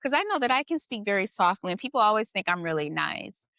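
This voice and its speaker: A woman's voice: mid-range voice, very animated, fast talker, a bit bright, dry recording, studio quality. A middle-aged woman hosting a casual conversation, thoughtful and questioning, often adding "you know" and "right". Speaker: podcast host.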